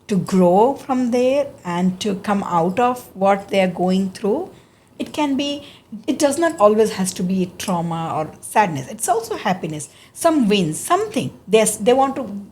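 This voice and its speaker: A woman's voice: mid-range voice, a little mellow. A woman hosting an interview podcast, speaking earnestly and often restarting her phrases. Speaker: podcast host. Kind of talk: interview chat.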